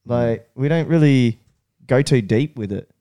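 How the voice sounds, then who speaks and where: A man's voice: mid-range voice, a little slow, dark tone. Slangy man hosting a laid-back podcast. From Brazil. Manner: slangy, swears freely, says "bro" and "eh". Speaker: podcast host. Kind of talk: casual podcast conversation.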